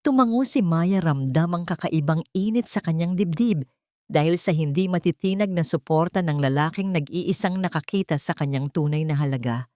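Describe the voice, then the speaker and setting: A woman narrating a scripted dramatic story in a measured, solemn manner. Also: deep voice, warm tone, no echo, studio quality.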